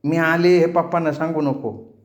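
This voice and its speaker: A man voicing a fearless, sharp-tongued young village girl with an indignant, assertive manner in a story. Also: fairly high pitch, fairly even intonation, moderate pace, reverberant, pitched above the performer's own voice, lightly acted. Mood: defiant, matter-of-fact.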